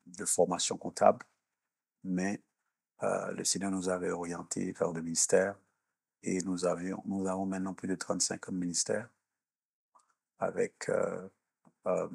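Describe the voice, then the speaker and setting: A mature man from Haiti and Canada, answering as an interview guest in a deliberate, searching, preacher-like explanatory way. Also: deep voice, gravelly, darkish tone, breathy edge, no echo. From born in Haiti, grew up in Canada. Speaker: minister and executive coach, interview guest.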